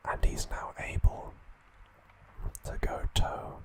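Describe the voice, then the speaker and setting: A man hosting an ASMR video, narrating trivia in a soft, deliberate, unhurried whisper. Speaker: ASMR host.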